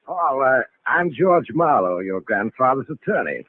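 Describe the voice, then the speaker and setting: A courteous, solemn lawyer in an old radio drama. His formal speech swings in pitch with small hesitations, over a narrow, hissy recording. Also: sing-song, measured pace. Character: courteous, solemn family attorney, a grown man. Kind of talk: vintage radio drama acting. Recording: tinny, noisy vintage radio recording.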